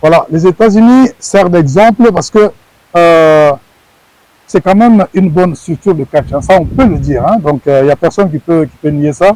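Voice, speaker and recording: Earnest Congolese man, dry mellow voice. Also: on the high side, moderate pace, no room sound, rough recording. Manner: earnest, repeats words while searching for phrasing. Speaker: former wrestler, guest. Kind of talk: TV sports interview answers.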